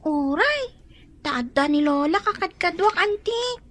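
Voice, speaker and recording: A young Filipino granddaughter in a radio drama, with a strikingly high voice. She speaks in a plaintive, dutiful way, wounded but deferential. The sound is very dry. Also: expressive, measured pace, a bit bright, no room sound, exaggerated voice. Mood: hurt, longing. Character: young schoolgirl, hurt yet dutiful granddaughter.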